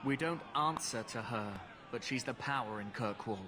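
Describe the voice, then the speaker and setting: A man's voice: medium pitch, normal speed, close to an everyday voice. A man playing a brusque, dismissive city guard in a fantasy game, with outdoor noise behind him.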